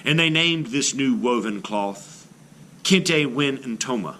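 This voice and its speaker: A man reading a children's story aloud in an expressive, theatrical style, like a teacher to a class. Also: mid-range voice, takes it slowly, slight background noise. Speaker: art teacher reading a picture book aloud.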